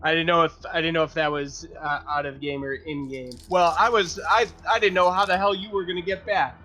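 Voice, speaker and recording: A man's voice: a little high, steady tone, a little fast, bright, forceful tone. A man taking part in a tabletop roleplaying game as a player, chatting casually. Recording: music under the voice.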